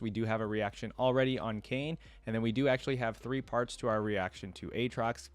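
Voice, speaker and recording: A man's voice: fairly low pitch, dry recording. A man hosting a reaction video, giving casual, rambling commentary in a conversational way.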